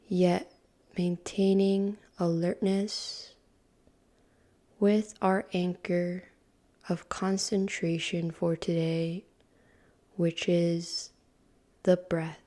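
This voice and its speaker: A woman guides a meditation at an extremely slow, calm pace, leaving long pauses between short phrases. Her voice sits a little low and is dark and mellow. It is soft and breathy, often trailing into a whisper.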